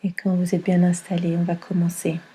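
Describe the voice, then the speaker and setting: A woman guiding a yoga nidra meditation in a calm, soothing manner, with a soft, breathy delivery. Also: on the low side, a little fast, rough recording. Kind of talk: guided meditation.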